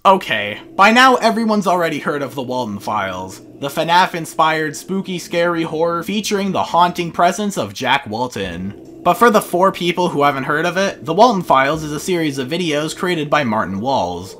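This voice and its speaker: A man's voice: on the high side, very animated, normal speed, few pauses, bright, forceful tone, a little hiss or hum. A man narrating a scripted video essay in an informal, opinionated way.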